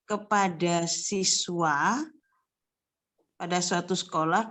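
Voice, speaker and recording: A woman scout leader asking a question over a video call, slow and searching for words. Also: mid-range voice. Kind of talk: question from the audience.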